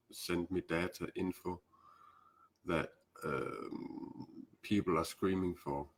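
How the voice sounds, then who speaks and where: A man with a Danish accent speaking English as a second language, hosting a casual spiritual live stream with many hesitant fillers. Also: sits low. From Denmark. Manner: hesitant, fills pauses with "uh" and "um".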